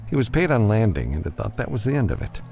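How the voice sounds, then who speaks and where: A man narrating a science fiction audiobook in a measured, expressive storytelling style. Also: fairly low pitch, creaky, faint music behind.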